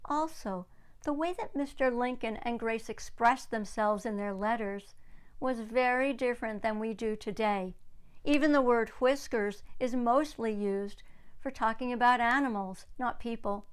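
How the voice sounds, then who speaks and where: A woman's voice: on the high side, unhurried. A woman reading a children's book aloud expressively, in a teacherly way that invites young listeners in. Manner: teacherly, clearly paced. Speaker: children's read-aloud storyteller. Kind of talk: expressive storybook narration with questions to young listeners.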